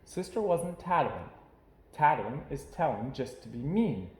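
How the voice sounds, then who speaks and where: Casting: gentle storybook mama bear played by a man, lifted voice, patiently reassuring, in an echoey room. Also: a little high, very animated, unhurried, a little hiss or hum. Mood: gentle, calm. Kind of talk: children's picture book read-aloud.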